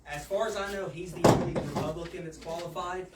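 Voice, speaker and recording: A man's voice: a little high, a little fast. A man making matter-of-fact announcements as organizer at a meeting. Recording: noisy echoey room, distant mic.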